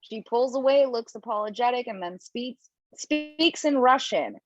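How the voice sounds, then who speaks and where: A woman reading the stage directions in an amateur table read, brisk and quick to laugh at her own slips. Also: on the high side, expressive, muffled recording. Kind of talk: amateur table read over video call.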